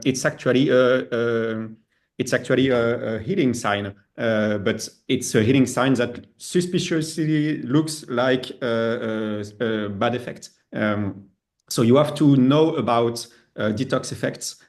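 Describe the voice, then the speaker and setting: A man explaining things in a webinar, halting and often repeating his words as he goes. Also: mid-range voice, creaky, darkish tone. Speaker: webinar host.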